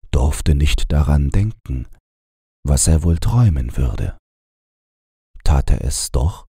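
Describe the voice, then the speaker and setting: A man narrating a bedtime fairy tale in a gravelly, husky voice, with a measured, unhurried storyteller's delivery. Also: a bass voice, mellow, no room sound, studio quality. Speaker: audiobook narrator.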